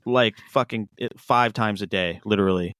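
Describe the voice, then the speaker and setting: A relaxed man around fifty, who talks in an easy, halting way full of "uh" and "like" and drops casual curses. His voice sits dry and close, with no room sound. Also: lowish voice, smooth, dry recording. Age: 50s. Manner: laid-back, swears freely, hesitant fillers. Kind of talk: casual chat.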